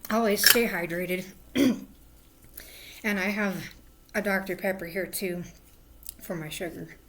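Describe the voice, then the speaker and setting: Hoarse woman chatting casually while crafting. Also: mid-range voice, fairly even intonation, a little slow, slight background noise. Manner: rambling, drifts into soft murmurs. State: hoarse, lost voice. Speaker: craft livestream host. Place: at home indoors.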